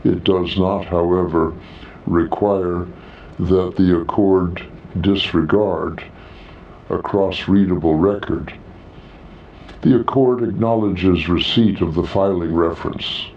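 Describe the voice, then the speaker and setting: A man voicing a male alien protocol officer in a sci-fi audiobook, measured and formal, with deliberate procedural phrasing. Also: sits low, steady tone, far from the mic, dull audio.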